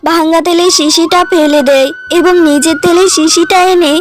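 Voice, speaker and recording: A woman's voice: extremely high pitch, steady tone, moderate pace, noisy background. A woman narrator reading a scripted cartoon story.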